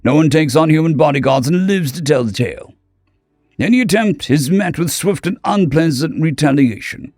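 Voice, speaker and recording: A man's voice: a little high, lively intonation, moderate pace, a little mellow, no room sound, clean studio sound, pitched above the performer's own voice. A man voicing a stern alien fixer. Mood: urgent. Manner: stern, lecturing. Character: alien male tavern fixer, wary and blunt.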